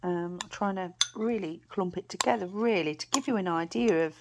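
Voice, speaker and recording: A British woman vlogging casually to camera, chatty and rambling, her voice a bit croaky. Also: fairly low pitch, normal speed, background noise. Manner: chatty, rambling with many fillers and restarts.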